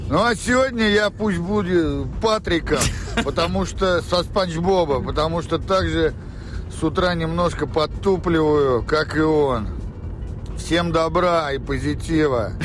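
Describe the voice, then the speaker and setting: Man, early forties, fairly high voice, slow casual voice message, music and traffic behind. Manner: unhurried. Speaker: listener leaving a voice message.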